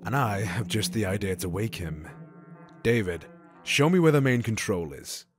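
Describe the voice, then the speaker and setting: An actor playing a cultured, commanding gang boss in an audio drama, speaking in a measured, formal, theatrical way. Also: male voice, fairly low pitch, expressive, soft background music, lightly acted. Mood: smugly pleased.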